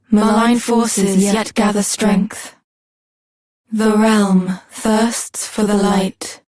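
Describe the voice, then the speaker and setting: A woman's voice: mid-range voice, darkish tone, dry recording, low-quality recording. A woman playing a solemn, reverent maiden guardian in a fantasy video game, speaking in a formal, ceremonial manner.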